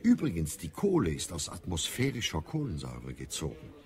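Casting: eager, self-important innkeeper in audio drama, low voice, extremely lively intonation. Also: male voice, brisk, a crowd in the background. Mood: chatty, proud. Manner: talkative, boastful, eager to please. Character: chatty, self-important innkeeper, proud of his night-school learning. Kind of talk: German audio drama acting.

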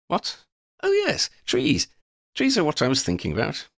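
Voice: medium pitch, huge pitch swings, normal speed, gravelly, fairly bright tone, no echo, studio quality, voice pushed up, full character voice. A man voicing a gangly, muddle-headed wandering vagabond in a storybook, with quaint old-fashioned phrasing and a rambling, easily distracted manner. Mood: excited, whimsical.

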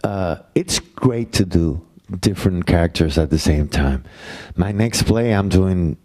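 A middle-aged man with a Puerto Rican accent, a playwright answering audience questions on a university stage into a handheld mic, halting, with many fillers. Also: sits low, lively intonation, mellow. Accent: Puerto Rican Spanish. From Puerto Rico. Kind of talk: Q&A talk after a play.

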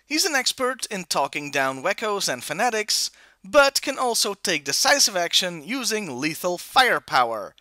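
Animated man reviewing toys, bright voice. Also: high-pitched, normal speed, no room sound. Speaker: toy review host. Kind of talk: scripted review narration.

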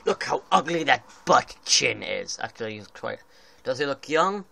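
A man's voice: mid-range voice, very animated, normal speed, gravelly, bright, forceful tone. A young man joking and teasing a friend while making a game character at home. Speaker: gamer playing with a friend. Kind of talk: casual banter over gameplay. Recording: home video with faint background noise.

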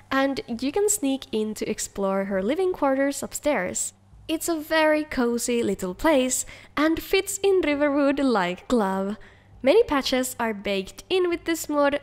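A woman hosting a video, reading scripted showcase narration. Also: high-pitched. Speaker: video host. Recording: faint music under the voice.

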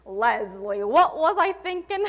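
A woman's voice: fairly high pitch, sing-song, slow pace, a bit bright, exaggerated voice. A woman performing a dramatic monologue as a nervous, gushing, proud middle-aged mother, theatrical and effusive. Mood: exasperated, gushing. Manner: theatrical, effusive, self-congratulating, stammering. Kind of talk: dramatic monologue in a speech competition.

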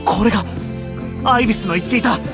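A man's voice: sits high, quick pace, over music, lightly acted. A man playing a stern, proud, hot-blooded young knight in a Japanese anime game, declaiming with urgency. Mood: astonished.

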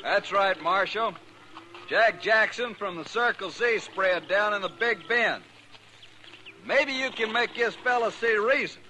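An American actor playing a desperate, hot-tempered cattle trail boss in a 1950s radio western, blunt and folksy. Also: male voice, high-pitched, animated, unhurried, pushed and bright, heavily acted. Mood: angry. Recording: noisy vintage 1950s radio recording.

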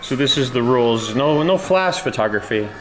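A man's voice: mid-range voice, lively intonation, moderate pace, rough recording. A middle-aged man chatting casually and playfully as a vlog host, talking to a camera held at arm's length. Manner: playful, joking. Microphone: action camera at arm's length.

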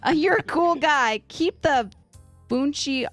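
A woman's voice: sits high, slow pace, loud music behind the voice. An American woman in her thirties chatting casually as a radio co-host. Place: radio studio. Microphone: close studio microphone.

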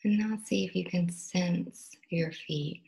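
A woman meditation teacher leading a guided meditation, speaking softly and gently in unhurried phrases with long pauses. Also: on the low side, creaky. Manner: gentle, instructional, soothing.

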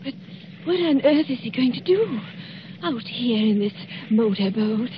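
Vintage radio drama: outspoken, daring socialite, high-pitched, brisk, slightly muffled broadcast sound. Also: female voice, lively intonation. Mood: skeptical. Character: bold, thrill-seeking brunette socialite. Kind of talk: 1940s radio acting. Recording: dull, hissy old radio broadcast.

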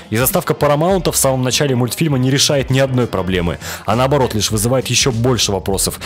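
A young man from Russia delivering a scripted, wry review as a voice-over. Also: mid-range voice. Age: young adult. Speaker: video reviewer. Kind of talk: scripted cartoon review narration. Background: background music.

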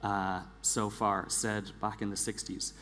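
A man speaks from a big stage with long hall reverb and a clear background hum. His voice sits fairly low, and he delivers a prepared presentation deliberately, repeating words for emphasis. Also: flat delivery, fairly bright tone. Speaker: conference speaker, engineering director. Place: large theatre stage, indoors.

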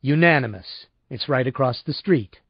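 A man's voice: medium pitch, lively intonation, normal speed, creaky, slightly rough, no echo, lo-fi sound. A man voicing a stubborn, impatient male customer with a curt, sardonic manner in an audiobook. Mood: resolved.

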